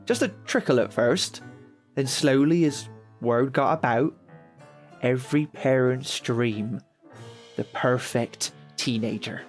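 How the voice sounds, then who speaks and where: Casting: man to play streetwise teenage son pushed out of home, bitter and colloquial, mid-pitched, slow delivery. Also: soft background music, close to an everyday voice. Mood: brooding, narrating. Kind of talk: audio drama dialogue and monologue.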